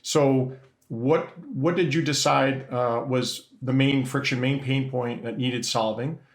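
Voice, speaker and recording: A man's voice: mid-range voice, steady tone, slow, echoey room. A middle-aged man hosting a business interview, hesitant and full of restarts as he sums up his guest's points. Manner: hesitant, restarts often, summarizing.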